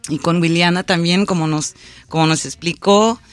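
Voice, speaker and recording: Woman guest, halting, restarts, mixes English, soft music behind. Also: lowish voice, steady tone, dry recording. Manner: hesitant, many restarts and fillers, mixes in English. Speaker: festival organizer and musician. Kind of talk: casual interview guest.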